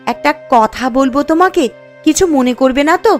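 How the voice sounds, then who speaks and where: Lady playing worried village wife in a cartoon, high voice, gentle n sensible, soft music behind. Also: animated, moderate pace. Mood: earnest, advising. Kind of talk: dramatic cartoon story dialogue.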